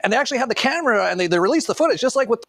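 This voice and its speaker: A man with a noticeably high voice talks in a loose, casual commentary style. His delivery is gravelly with vocal fry and rambles along sardonically. The sound is very dry. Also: lively intonation, very fast, a bit bright, no room sound. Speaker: online commentator.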